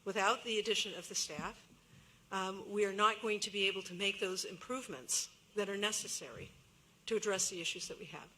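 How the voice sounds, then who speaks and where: Woman admin at a town meeting, bright voice, steady careful talk, lots of ums. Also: medium pitch, a little hiss or hum, poor audio. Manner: measured, with many fillers and restarts. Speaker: school administrator answering questions. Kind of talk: explaining budget at public meeting. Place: public meeting hall.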